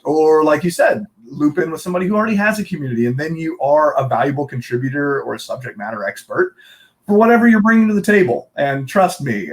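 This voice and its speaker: A man hosting a casual interview, enthusiastic and agreeable, laughing readily with his guest. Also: on the high side. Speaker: interview host.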